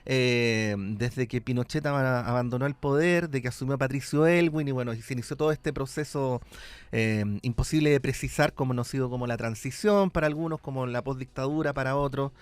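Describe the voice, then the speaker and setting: A man's voice: medium pitch, fairly quick, clean tone, no room sound. A man hosts a radio interview in a studio, talking with many hesitant fillers as he introduces his guest and poses questions.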